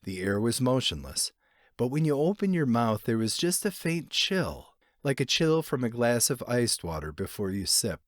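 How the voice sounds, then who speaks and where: A man reads literary fiction as an audiobook narrator, his pitch moving in lively sweeps around a medium register. He shapes each phrase expressively and leaves lingering pauses. The sound is close and dry, with no room echo. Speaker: narrator, short story reader.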